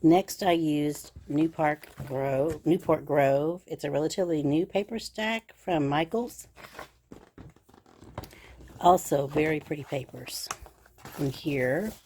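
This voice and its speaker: A middle-aged woman with a low, slightly scratchy voice, chattering cheerfully about her craft supplies. She gushes and fills the gaps with "um"s. Faint noise sits behind her. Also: slow pace, darkish tone. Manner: chatty and gushing, with frequent fillers. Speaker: craft channel host. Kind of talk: casual crafting show-and-tell.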